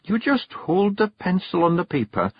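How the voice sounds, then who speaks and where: Brief: bossy little Scottish fairy queen, much higher voice, sly and scolding, put on by a male reader, slightly husky. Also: sing-song, normal speed, no echo, dull audio, exaggerated voice. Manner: scolding, coaxing, artful. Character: small sharp-tongued fairy clan queen, female, bossy.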